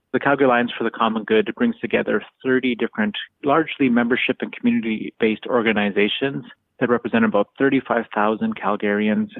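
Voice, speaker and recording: Fast-talking man with a gravelly voice. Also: fairly low pitch, no echo, narrow, tinny audio. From Canada. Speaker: lead organizer, interview guest. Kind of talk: conversational interview answers.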